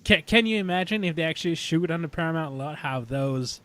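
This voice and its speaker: Halting man chatting casually. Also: on the high side, lively intonation, fairly bright tone. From Canada. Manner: hesitant, halting delivery with repeated words. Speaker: podcast panelist. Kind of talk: casual fan chat.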